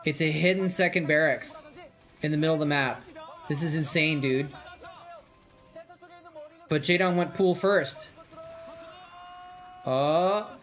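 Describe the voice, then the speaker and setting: Streamer guy with a kinda high voice, rambly casual chat, gets hyped and laughs a lot. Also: takes it slowly, pauses often, soft background music. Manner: chatty, rambling, gets excited and laughs at the action. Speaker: streamer hosting a game watch party.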